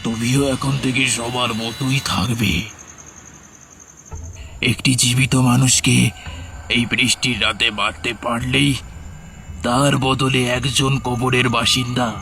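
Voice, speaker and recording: Man playing a gravelly, sinister undead villager. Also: mid-range voice, little pitch movement, sharp tone, a little hiss or hum. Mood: threatening. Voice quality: gravelly, creaky. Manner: menacing, deliberate, taunting. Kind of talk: horror cartoon voice acting.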